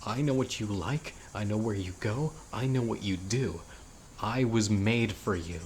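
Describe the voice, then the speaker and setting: A dying, devoted yandere witch, played by a man in an audio roleplay. His voice sits fairly low and moves very slowly, with deliberate, intimate pleading. Insects and birdsong are clearly audible behind him. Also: a little mellow, a little hiss or hum, close to an everyday voice. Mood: agitated, desperate. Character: obsessive, dying young male witch, devoted yandere lover. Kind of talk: audio roleplay, dramatic monologue to listener. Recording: outdoor nature ambience, crickets and birds.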